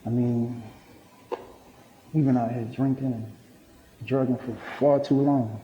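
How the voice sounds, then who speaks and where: A man's voice: medium pitch, steady tone, takes it slowly, natural voice. An actor in a stage play, playing an earnest middle-aged father and hard drinker who is resolving to change. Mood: earnest, hopeful. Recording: muffled roomy stage recording, faint noise.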